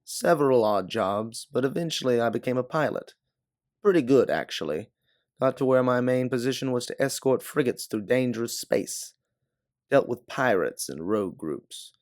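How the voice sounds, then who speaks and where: A man's voice: medium pitch, lively intonation, normal speed, a little mellow, dry recording, clean studio sound, natural voice. A man voicing a weary, candid stranded ex-pilot in a science fiction audiobook. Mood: reminiscing. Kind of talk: audiobook character dialogue.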